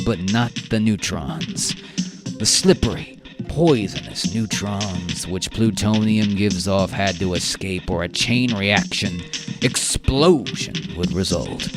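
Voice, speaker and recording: A man narrating a science fiction radio drama in a deliberate, theatrical way with dramatic pauses. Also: lowish voice. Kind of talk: dramatic scripted story narration. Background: music with guitar.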